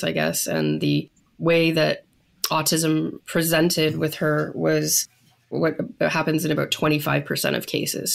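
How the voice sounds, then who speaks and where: Canadian woman with a very creaky voice. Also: sits low. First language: English. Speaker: podcast guest, mother telling her story. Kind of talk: conversational interview storytelling.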